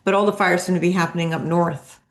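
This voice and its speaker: A woman co-hosts a relaxed podcast conversation. Her voice sits fairly low and has a strong creaky fry. She talks plainly, in an anecdotal, conversational style. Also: fast talker. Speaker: podcast co-host. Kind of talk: casual podcast chat.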